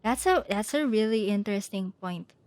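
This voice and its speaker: A woman's voice: medium pitch, animated, normal speed, darkish tone, no room sound. A woman game streamer giving casual, reactive live commentary on a story game, prone to giggles.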